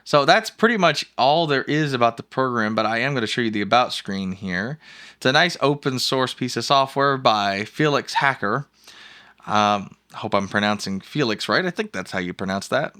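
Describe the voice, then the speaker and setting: A man hosting an informal, jokey, rambling software walkthrough in a casual screencast style. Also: mid-range voice, clear, resonant tone. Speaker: host of a software review show.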